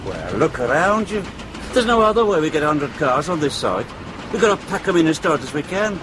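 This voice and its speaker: A British actor playing a shifty, evasive car park manager in a radio drama, talking defensively and colloquially. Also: male voice, fairly high pitch, sing-song, brisk, noisy background. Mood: nervous, evasive. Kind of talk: broadcast radio drama acting.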